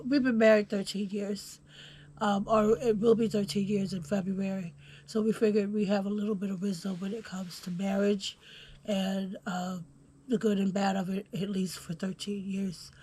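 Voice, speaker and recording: A woman's voice: mid-range voice, slight background noise. A woman from Houston, hosting a casual podcast chat while a little under the weather, with plenty of ums. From Houston, Texas. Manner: relaxed, filler-heavy, reads from notes. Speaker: podcast host. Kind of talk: casual conversation.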